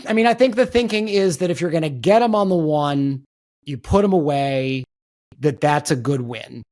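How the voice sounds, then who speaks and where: A man's voice: a little high, moderate pace, a little mellow. A man hosting a political podcast, talking in an emphatic conversational way and repeating words as he restarts. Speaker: podcast host.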